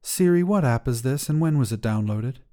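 An unimpressed young woman from a short story , read by a man, curt and skeptical. The voice is mellow, with a dismissive manner and moving pitch. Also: animated, moderate pace, close to an everyday voice. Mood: curt, curious.

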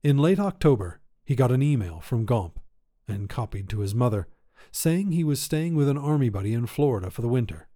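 A man's voice: medium pitch, animated, a little fast, dark tone. Man narrating short fiction. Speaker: podcast narrator and host. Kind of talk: scripted story narration.

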